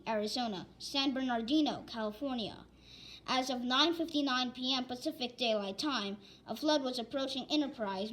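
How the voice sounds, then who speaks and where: Slow, high-pitched female weather alert announcer. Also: a bit bright. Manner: halting, stumbling, repeats phrases. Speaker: announcer of mock emergency alerts. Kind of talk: amateur weather alert bulletin read aloud.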